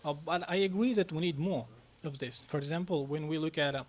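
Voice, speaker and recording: A man answering questions on a press club panel, talking haltingly with many fillers and restarts. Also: a little high. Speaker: panel speaker on national reforms.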